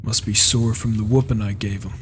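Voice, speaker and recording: A man's voice: on the low side, fairly even intonation, brisk, lightly acted. A man roleplaying an impatient, proud, reclusive warrior in a video game, talking in a grumbling, questioning way. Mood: grumbling, determined. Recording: faint noise, rain behind, mic issues.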